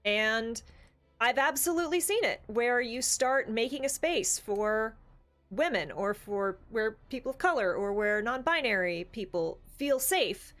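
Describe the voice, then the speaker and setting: A woman's voice: on the high side, animated, a little slow, a bit bright. A woman hosting a casual discussion show, talking conversationally with lots of "like" asides. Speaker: show host. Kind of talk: casual panel discussion of tabletop gaming.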